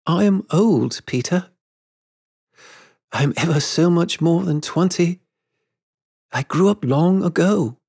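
A man voicing a gentle, guilty grown woman who speaks in a faltering, apologetic way, in a storybook reading. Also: on the high side, animated, takes it slowly, creaky, pauses often, breathy edge, pitched above the performer's own voice, heavily acted. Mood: sad, confessing. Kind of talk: storybook character voice.

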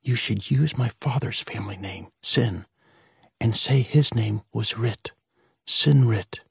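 A man's voice: mid-range voice, animated, measured pace, a little mellow, breathy edge, no room sound, clean studio sound, voice pushed up. A man voicing a frightened, stern mother who whispers urgent warnings to her children and drills them harshly.

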